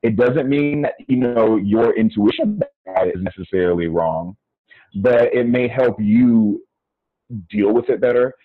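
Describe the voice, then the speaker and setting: A man's voice: medium pitch, unhurried, no echo, faint music behind. A middle-aged American man, a podcast guest, talking reflectively over an earphone mic on a video call from a small room, with many fillers and restarts. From United States. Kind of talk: casual conversation. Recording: phone-like narrow sound, dry.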